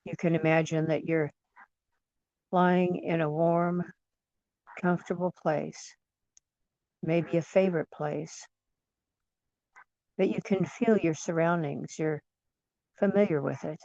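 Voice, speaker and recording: A woman leading guided imagery in a soothing, gentle manner, leaving long pauses between phrases. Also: low-pitched, fairly even intonation, vocal fry, no echo, highs cut off. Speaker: guided meditation leader.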